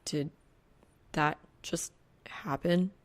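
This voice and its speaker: A woman's voice: sits low, fairly even intonation, extremely slow. A woman reading a piece of fan fiction aloud as scripted audiobook narration.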